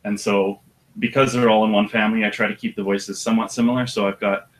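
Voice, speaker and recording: An audiobook narrator with a deep voice, talking about his work in a relaxed, thoughtful way with some hesitation. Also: fast talker. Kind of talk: casual interview chat.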